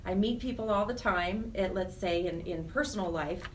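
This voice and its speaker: A woman's voice: medium pitch, normal speed. A middle-aged American woman speaking earnestly and reflectively as a guest in a conversational radio interview. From Wyoming, United States. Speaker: interview guest, charity program director. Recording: distant mic with faint noise.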